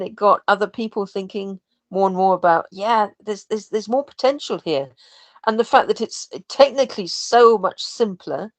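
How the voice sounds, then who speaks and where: Low-voiced woman in dry, casual conversation. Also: dry recording. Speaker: podcast co-host, audio producer.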